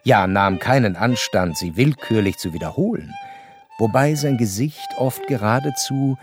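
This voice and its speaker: An actor playing an educated, observant narrator in a literary radio play, speaking in a measured, precise and wry way. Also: male voice, fairly low pitch, lightly acted. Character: educated, curious male narrator, observant and wryly detached. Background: violin music.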